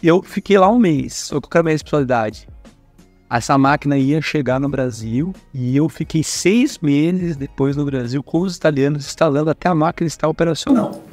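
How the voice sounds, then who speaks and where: Chatty Brazilian man, higher voice. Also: fairly quick, darkish tone, dry recording, faint music behind. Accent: Brazilian Portuguese. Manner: chatty, talks with his hands, frequent tag questions. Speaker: guest speaker at a career fair. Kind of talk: casual storytelling interview.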